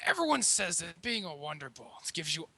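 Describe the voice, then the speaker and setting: A man's voice: fairly high pitch, sing-song, normal speed, vocal fry, sharp tone, husky, no room sound. A man taking part in a casual group podcast chat. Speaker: podcast participant, amateur voice actor.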